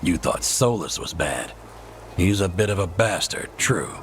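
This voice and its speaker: Deep-voiced, blunt fantasy elf. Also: male voice, lively intonation, a little slow, fairly bright tone, a little hiss or hum. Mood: blunt, grim. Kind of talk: cinematic video game dialogue.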